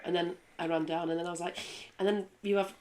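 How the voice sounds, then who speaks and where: A woman's voice: on the low side, fast, very noisy. A woman, a former rugby league player chatting casually on a studio panel. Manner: chatty, lively, laughs easily.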